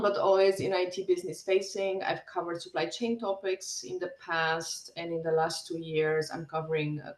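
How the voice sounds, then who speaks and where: A woman introduces herself on an industry panel in a lively, loose way, laughing between phrases. Her voice sits at an average pitch. The recording has a roomy echo around her. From Germany.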